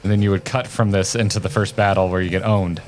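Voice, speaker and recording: A man around his thirties or forties reacting casually and excitedly to game footage, with informal, opinionated commentary. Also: deep voice, fast, music in the background. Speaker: co-host of a video game reaction show.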